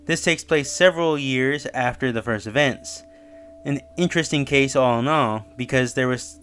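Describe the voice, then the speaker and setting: A man's voice: medium pitch, a bit bright, clean tone. A man hosting his own channel, casually recapping and reviewing a horror web series, talking loosely with fillers and self-corrections.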